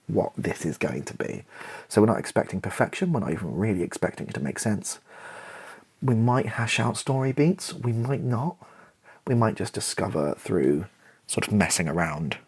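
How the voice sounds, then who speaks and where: A British man speaks in a soft, very husky and breathy voice with crackling vocal fry, slipping toward a whisper. His intonation moves around a lot as he improvises casually in an ASMR style, thinking aloud in hesitant fragments. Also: lowish voice. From Britain. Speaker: ASMR video creator thinking aloud while writing.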